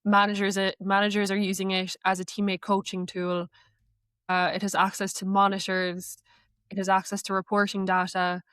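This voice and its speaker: A woman's voice: mid-range voice, completely flat delivery, moderate pace, fairly bright tone, clear, resonant tone, no echo. Woman guest, dry close recording. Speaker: guest, AI knowledge manager. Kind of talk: conversational interview answers.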